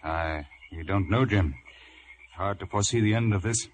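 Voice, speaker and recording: An actor playing a calm, thoughtful gentleman doctor with a measured manner in an old radio adventure. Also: lowish voice, close to an everyday voice. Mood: grave, thoughtful. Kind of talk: 1950s radio drama acting. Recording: noisy old radio recording.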